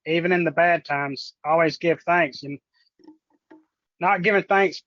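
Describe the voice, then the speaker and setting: A man's voice: a little high, a little slow, no echo, lo-fi sound. A man speaking as a podcast guest in a casual, personal chat about faith, folksy and plainspoken.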